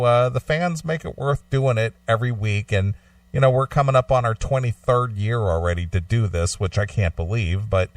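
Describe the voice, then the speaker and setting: A man hosting a casual talk show, speaking in a measured way with frequent pauses and fillers. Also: on the low side, no echo, far from the mic. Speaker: host of a long-running radio show.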